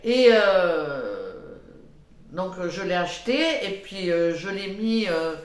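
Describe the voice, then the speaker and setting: An older woman with a head cold, chatting casually in a cooking vlog, rambling with many pauses and fillers. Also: fairly low pitch, big pitch swings, reverberant. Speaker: cooking vlogger.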